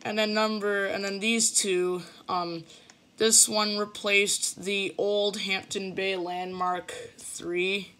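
A man's voice: sits high, flat delivery, bright, forceful tone. A young man giving a casual, hesitant walkthrough of his game build while filming the screen with a phone. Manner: slow, hesitant, with fillers. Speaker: gamer showing a game build. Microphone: phone filming a computer screen.

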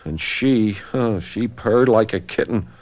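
An actor playing a wild, resentful orphan youth in a radio drama, with a blunt, sullen manner. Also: male voice, lowish voice, a little slow, darkish tone, lo-fi sound, natural voice. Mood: wounded, brooding.

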